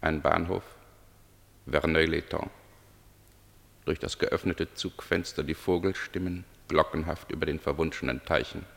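A man reading scripted literary narration for a radio play in a measured, observational way. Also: rumbling low, fairly even intonation, creaky, fairly bright tone, long reverb tail.

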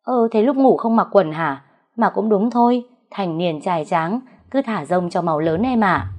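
A woman's voice: medium pitch, animated, measured pace, darkish tone. A woman voicing a bold young married woman with a cheeky, teasing manner in a story narration. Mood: amused teasing.

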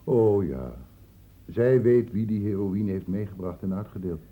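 An actor playing a shrewd, paternal doctor in a radio play, speaking in a measured, patronising, ironic way. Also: male voice, lowish voice. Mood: probing. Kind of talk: radio play acting in Dutch. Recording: muffled, phone-like old radio recording.

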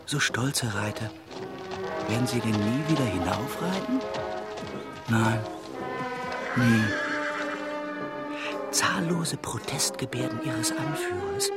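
An actor playing a brooding, awestruck narrator of a surreal vision, delivering dramatic literary radio-play narration in halting fragments. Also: male voice, mid-range voice, wildly swooping pitch, loud music behind the voice. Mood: slow, hushed wonder. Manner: halting fragments, theatrical, sometimes hushed.

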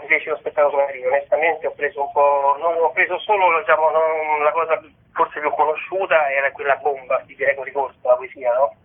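A man's voice: a little high, fairly even intonation, fairly quick, phone-quality sound, slight background noise. A man, author and theatre director, talking hesitantly on the radio about staging his show. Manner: hesitant, searching for words. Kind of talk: radio interview.

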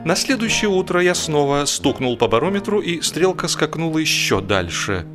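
A man reading a humorous literary story aloud in an expressive, wry, theatrical storytelling manner. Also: on the high side, moderate pace, over music. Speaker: audiobook narrator and actor.

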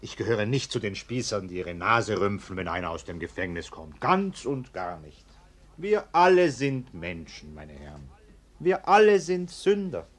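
An actor playing an anxious, eager-to-please middle-class husband hosting guests in a radio play, jovial, blustering and stammering. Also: male voice, fairly low pitch, sing-song, moderate pace. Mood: earnest, nervous. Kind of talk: German radio play acting.

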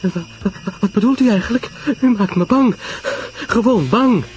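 A man's voice: high-pitched, normal speed, darkish tone, dull audio, faint music behind, pitched above the performer's own voice, close to an everyday voice. A man voicing a distraught, tearful small woman in a story, stammering and pleading breathlessly. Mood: frightened, desperate.

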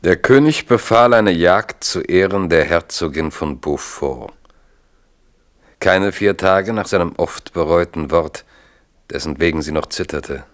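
A man narrating a radio drama in a measured, grave, literary manner. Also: deep voice.

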